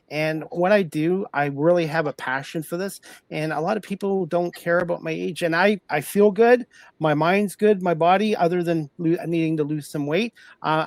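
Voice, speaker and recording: A man's voice: on the high side, darkish tone, dry recording. A man in his fifties hosting a talk show, chatting in an earnest, rambling way and often restarting or repeating himself. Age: 50s. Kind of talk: casual chat.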